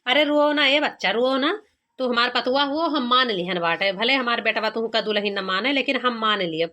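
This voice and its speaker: A woman's voice: a little high, normal speed, sharp tone. A woman voicing a coaxing, practical village mother with an Awadhi accent in a cartoon comedy. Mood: consoling. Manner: coaxing, questioning.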